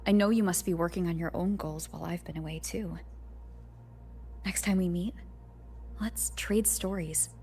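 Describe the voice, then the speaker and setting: An actress voicing a young, gentle, earnest wandering hero in a video game, speaking in a measured, reflective way like a narrated letter. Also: female voice, lowish voice, steady tone, a little hiss or hum, close to an everyday voice. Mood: warm, caring. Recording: echoey, long reverb, music behind.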